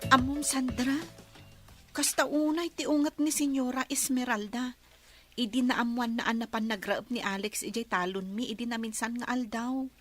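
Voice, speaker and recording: Cheerful, gentle young woman and devoted daughter, female actor, radio drama, voice pitched very high. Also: fairly even intonation, normal speed, soft background music, close to an everyday voice. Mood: confiding. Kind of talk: Ilocano radio drama acting.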